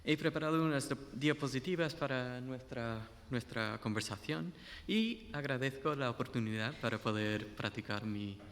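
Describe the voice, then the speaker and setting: A man's voice: medium pitch, normal speed, fairly bright tone, big-room sound. A man speaking Spanish with an English-speaking accent, a guest researcher giving a friendly conference talk with slides and questions to the audience. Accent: English-speaking learner of Spanish. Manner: friendly, asks the audience questions, some restarts.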